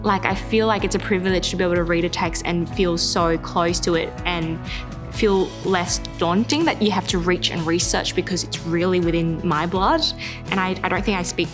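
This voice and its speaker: Lowish-voiced woman from Vietnam, music behind. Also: steady tone, normal speed. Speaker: cast member. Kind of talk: personal interview reflection.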